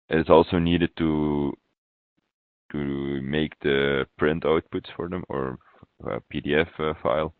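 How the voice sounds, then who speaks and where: Very deep-voiced man on a thin phone-like line. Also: no room sound. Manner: halting, pausing mid-phrase. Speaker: software consultant presenting a webinar demo. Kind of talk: instructional software walkthrough. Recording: thin, phone-like webinar audio.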